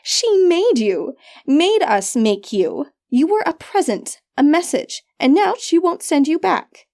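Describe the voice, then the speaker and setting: A woman's voice: sits high, big pitch swings, slow, darkish tone, voice pushed up, exaggerated voice. A woman voicing a cruel, petulant witch sister in a fantasy audiobook, with a taunting, sing-song, theatrical delivery. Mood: spiteful.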